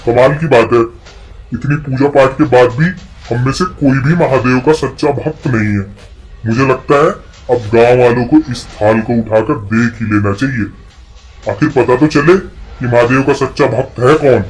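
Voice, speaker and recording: A man voicing a puzzled temple priest who talks in an animated, wondering way in a cartoon folk tale. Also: mid-range voice, moderate pace, lightly acted, rough recording.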